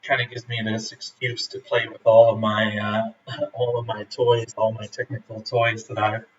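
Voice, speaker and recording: A man's voice: fairly low pitch, completely flat delivery. Rambling man on a lo-fi live stream. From Michigan, USA. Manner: rambling, halting, many fillers, laughs often. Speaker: homebrewer livestreaming a brew day. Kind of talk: casual live-stream chat. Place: home brewing setup in Denmark, rainy day. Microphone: streaming camera and laptop. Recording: roomy, distant, dull lo-fi sound with faint ambience.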